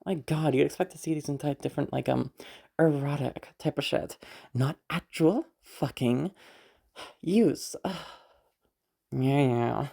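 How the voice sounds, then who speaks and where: Girl voice acting a caring young party host in an audio roleplay, low mellow voice, rambly n teasing. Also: takes it slowly, vocal fry, pauses often, exaggerated voice. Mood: wry, exasperated. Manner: rambling, hesitant, softly reassuring, casual swearing. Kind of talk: audio roleplay, naturalistic one-sided dialogue.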